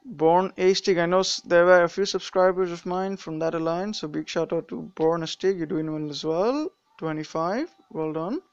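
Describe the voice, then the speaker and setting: A man's voice: on the high side, normal speed, hardly any pauses, clear, resonant tone, no room sound, dull audio. A young man with a mixed British and Indian accent, casually commenting on a mobile game during a live stream, rambling with many fillers and restarts.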